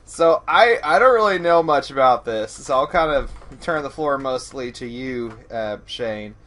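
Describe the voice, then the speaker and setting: A man's voice: mid-range voice, lively intonation, unhurried, a bit bright, a little hiss or hum. A man co-hosting a podcast, talking through a film in a casual, rambling, self-deprecating way. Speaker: podcast co-host.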